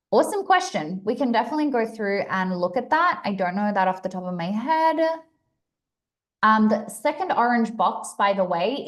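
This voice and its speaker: Brief: young woman host, Aussie-American accent, chatty, upbeat live-stream patter, mid-pitched. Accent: Australian mixed with American. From Australia. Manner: chatty and upbeat, many fillers and restarts. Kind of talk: casual live stream chat to viewers.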